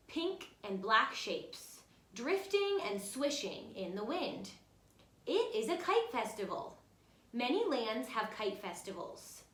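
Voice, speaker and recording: A woman's voice: a little high, a bit bright. A woman teacher reading a children's picture book aloud, speaking expressively and instructively, directly to young listeners. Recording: roomy, echoey room sound.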